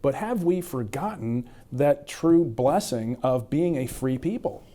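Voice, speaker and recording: Man with a warm, mellow voice asking questions. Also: mid-range voice, animated, measured pace. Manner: rambling, self-restarting questions. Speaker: podcast host. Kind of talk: conversational interview.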